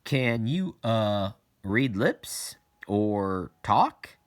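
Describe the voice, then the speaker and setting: Man doing a halting young junkyard guy. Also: lowish voice, big pitch swings, full character voice. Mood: unsure. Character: young man at junkyard desk, startled and unsure.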